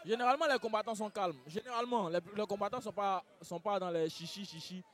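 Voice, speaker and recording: A man with an Ivorian accent giving live fight commentary, explaining technique in halting, repeated phrases. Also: high-pitched, a bit bright. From Ivory Coast.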